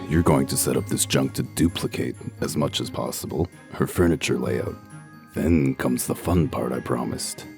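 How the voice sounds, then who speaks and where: Very deep, gruff detective. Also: male voice, full character voice. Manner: gruff, sardonic, curt. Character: gruff wolf detective in human guise, blunt, commanding. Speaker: investigator. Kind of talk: comic dub character acting.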